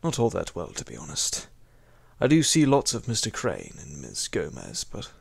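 A man acts out an elderly butler in an audio drama. He is formal and deferential yet gossipy and aggrieved, his husky voice creaking and swinging widely in pitch. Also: wildly swooping pitch, moderate pace, no room sound, clean studio sound, heavily acted. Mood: deferential, confiding.

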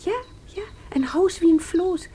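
An actress playing a dreamy, childlike, tender aunt in a 1950s radio play, speaking softly with a tendency to whisper. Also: female voice, very high-pitched, moderate pace. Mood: playful, excited.

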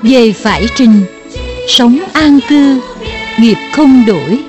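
A woman chanting short moral verses slowly and clearly for a children's cartoon. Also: fairly high pitch, animated, music in the background. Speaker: verse reciter. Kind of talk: slow chanted verse recitation.

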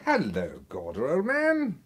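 An actor with a British accent playing an affable, wheedling secret service official in a radio drama, genteel and falsely casual. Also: male voice, on the high side, huge pitch swings, takes it slowly, husky, full character voice. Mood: chummy, casual. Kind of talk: radio drama acting, dialogue.

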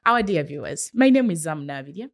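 Chatty Ugandan woman co-hosting, lower voice. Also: very animated, fast talker. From Uganda, eastern region. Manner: chatty, addresses viewers directly. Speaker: show co-host. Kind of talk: conversational talk show presenting.

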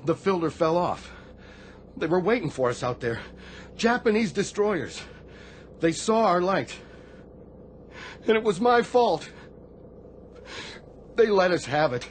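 An actor playing a guilt-ridden, anguished middle-aged Navy sailor in a radio drama, confessing haltingly to his superior. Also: high-pitched, big pitch swings, slight background noise, heavily acted. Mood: haunted, subdued. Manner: halting, confessional, respectful of rank.

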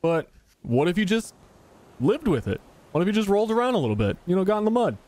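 A man's voice: high voice, big pitch swings, fast talker, a little mellow, pitched above the performer's own voice. A man voicing a folksy male quack healer who calls people partners. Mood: coaxing.

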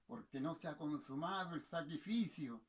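A male poetry reciter with a fairly high-pitched voice declaims patriotic verse. His delivery is solemn and impassioned, shaped like theatrical oratory with dramatic pauses between phrases. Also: animated.

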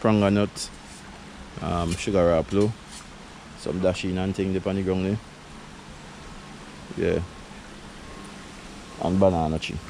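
A man's voice: low-pitched, slow, long pauses, slight background noise, rough recording. A middle-aged man from Saint Vincent with a Vincentian accent, chatting casually as he shows his backyard garden, laughing often. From Saint Vincent and the Grenadines. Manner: relaxed, chatty, laughs often, creole phrasing. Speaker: vlogger giving a garden tour. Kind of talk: casual chat.

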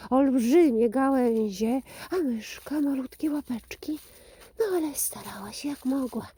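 A woman telling a children's fairy tale expressively, often dropping to a hush and repeating phrases for suspense. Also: on the high side, a little hiss or hum. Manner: hushed, breathy drops, repeats phrases for suspense. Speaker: children's storyteller.

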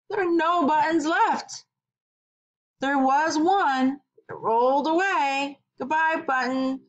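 A middle-aged woman, a kindergarten teacher, reading a story aloud and teaching counting to young children in simple, deliberate phrases with questions. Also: sits high.

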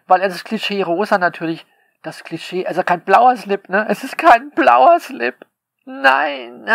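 Slow, gravelly-voiced sarcastic man. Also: sits high, fairly bright tone, dry recording. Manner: sarcastic, polemical, full of rhetorical questions. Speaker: author reading commentary on his novel. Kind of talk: spoken monologue to listeners.

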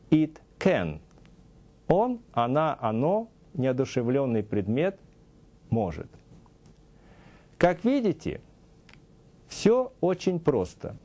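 A middle-aged man teaching a scripted TV language lesson, saying English example phrases slowly and deliberately, in a studio. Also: mid-range voice, warm tone. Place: TV studio with a news-desk set.

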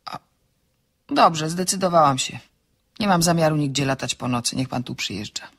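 An actress playing a witty, outspoken single woman in an audio drama, bantering briskly with polite irony. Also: low voice, expressive, slightly rough, exaggerated voice. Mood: dry, teasing. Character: witty, outspoken single woman writer, teasing and self-ironic. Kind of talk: Polish audio drama dialogue.

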